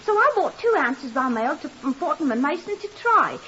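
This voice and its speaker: A talkative neighbour in an old radio drama. Her voice is high and swoops about as she rambles eagerly and deferentially. The vintage recording hisses. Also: big pitch swings, moderate pace, a bit bright. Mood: chatty, eager. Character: chatty, deferential, rambling working-class neighbour woman. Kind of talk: 1950s radio drama acting. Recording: hissy, muffled 1950s radio recording.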